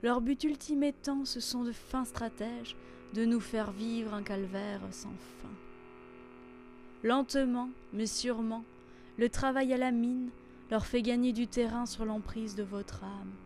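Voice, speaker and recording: A woman reciting a poem in a measured, theatrical and solemn manner. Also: on the high side, fairly even intonation, a little mellow. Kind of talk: dramatic poetry recitation. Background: instrumental music.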